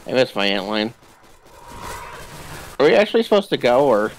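Male gamer, mid-pitched, playful and jokey, casual co-op chatter with game gunfire underneath. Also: very animated, normal speed, slight background noise, poor audio. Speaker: video game player. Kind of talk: casual co-op gameplay chat. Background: video game gunfire and ambience.

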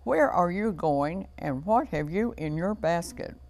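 A woman voicing a sly, smooth-talking male storybook wolf with a coaxing, falsely polite delivery. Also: fairly low pitch, expressive, a little slow, a little mellow.

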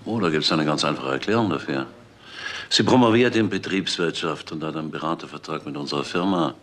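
Commanding shady lawyer, extremely deep, raspy, assured formal delivery, radio crime drama. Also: male voice, brisk. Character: smooth-talking, commanding, shady male lawyer. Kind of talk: German crime radio play acting.

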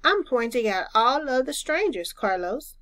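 Woman voicing an excitable, high-pitched little boy. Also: a little slow. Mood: eager. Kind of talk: children's storybook read-aloud.

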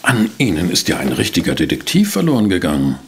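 A dogged, cheeky reporter in an audio detective drama. His slightly low voice is gravelly and swings in pitch. He is polite and wry, always probing, over faint noise. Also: wildly swooping pitch, fast talker, heavily acted. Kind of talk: German audio drama acting and narration.

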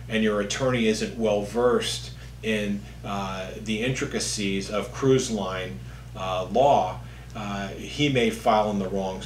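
A man in his fifties, a lawyer, explaining things to camera in a halting, conversational way in an office. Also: on the low side. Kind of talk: informal legal explainer to camera. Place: indoor office, seated at a distance from the camera. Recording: echoey room with faint hum.

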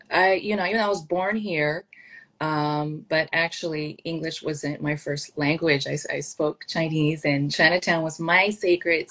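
A young woman hosts a podcast interview from a home office over a webcam. Her voice is low for a woman, and she affirms her guest and then asks open, thoughtful questions. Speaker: podcast host. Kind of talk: interview over video call. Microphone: video call webcam.

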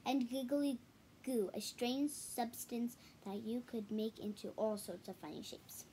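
A young girl of about ten to twelve reading a children's picture book aloud haltingly, with stumbles, repeats and casual asides. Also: on the high side, mellow, a little hiss or hum, low-quality recording. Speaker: storybook reader. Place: small living room at home, indoors.

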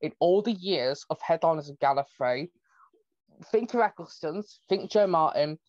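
Young man, 20s, excited podcast chatter, slightly high voice, dull dry lo-fi sound. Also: dry recording. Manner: excited, rapid fan chatter. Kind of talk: casual podcast chat.

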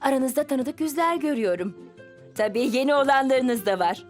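A woman's voice: high voice, lively intonation, moderate pace. A woman voicing a kindly, orderly bee schoolteacher addressing pupils in a children's cartoon. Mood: welcoming, instructive. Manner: instructive, exclamatory, addressing pupils. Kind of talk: dubbed children's cartoon acting. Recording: music behind the voice. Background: music.

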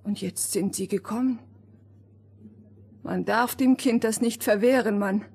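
An actress playing a devoted, anxious miller's wife with a fervent, emotional manner in a fairy-tale audio drama. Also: female voice, mid-range voice, a little slow, darkish tone, breathy edge. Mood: fervent, tearful.

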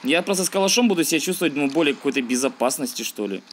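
A man's voice: fairly high pitch, expressive, rapid-fire. Man doing casual gameplay commentary over noise. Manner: slangy, thinking aloud, grumbling. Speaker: gaming video host. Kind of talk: casual let's-play commentary.

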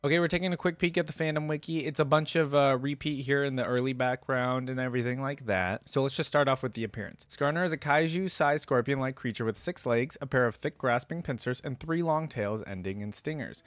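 A man's voice: medium pitch, a little fast, few pauses, dry recording. A young adult man giving casual, chatty reaction commentary and reading aloud, close to a desktop microphone. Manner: chatty, informal. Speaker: reaction video host. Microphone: desktop microphone close to the mouth.

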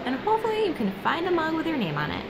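Travel vlogger, female, high and animated, cheerful tour narration, loud street noise behind. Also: very fast. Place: outdoors and in shops, walking. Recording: loud street and shop ambience.

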